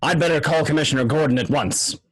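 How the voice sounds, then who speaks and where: A man's voice: on the high side, fast, slightly rough, voice pushed up. A man voicing a fussy, flustered middle-aged prison warden in a home-recorded radio play, with an exclaiming manner.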